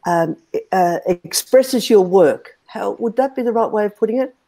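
An Australian woman in her sixties co-hosting a video interview, often pausing to search for words. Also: medium pitch, a crowd in the background. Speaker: co-host. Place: indoors, video call. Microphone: earbuds on a video call.

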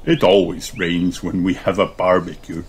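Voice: low voice, level tone, moderate pace, background noise, natural voice. An adult narrator voicing a mild-mannered, slightly gloomy daddy pig in a children's storybook. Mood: mild grumbling.

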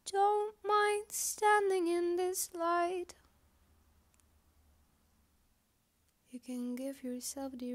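A woman voicing a lonely, wistful, apologetic balloon in a poetic monologue, with halting, deliberate phrasing and long pauses. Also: sits high. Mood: earnest, pleading. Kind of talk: slow poetic performance monologue.

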